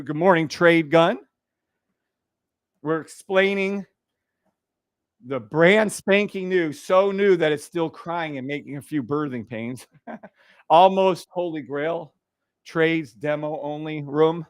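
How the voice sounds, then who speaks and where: A loud man hosts a trading livestream, blunt and profane, often laughing at his own jokes. His voice sits a little high, close and dry on a boom mic. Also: animated, slow, long pauses, clear, resonant tone, dry recording. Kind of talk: casual livestream chat.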